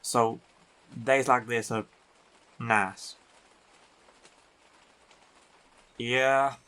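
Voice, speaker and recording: A man playing a big, teasing, affectionate biker werewolf boyfriend in an intimate roleplay audio, talking in an unhurried, colloquial way. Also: mid-range voice, sharp tone. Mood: relaxed, affectionate.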